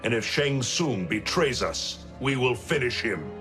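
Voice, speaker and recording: Stern thunder god hero, solemn n resolute, medium pitch, epic music behind. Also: male voice, very animated, measured pace. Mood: stern, defiant. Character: stern, righteous male thunder god warrior. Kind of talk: dramatic video game hero acting.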